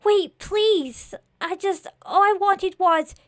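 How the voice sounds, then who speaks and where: A woman acts out a naive living scarecrow from a horror story. The voice is high and earnest, full of raw pleading emotion. It sounds close and dry. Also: a little slow, a bit bright, no echo. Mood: distressed pleading. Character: naive, remorseful living scarecrow creature, desperately attached.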